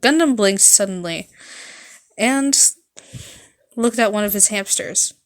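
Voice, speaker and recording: A woman narrating fan fiction as an amateur reader, halting at times and correcting her stumbles. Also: medium pitch.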